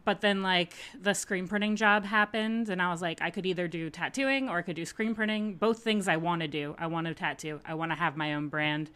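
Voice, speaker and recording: Blunt woman with bright, lowish voice. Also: fairly even intonation, brisk, hardly any pauses, clean tone. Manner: blunt, profane slang. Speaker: illustrator and small brand owner on a podcast. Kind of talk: casual chat.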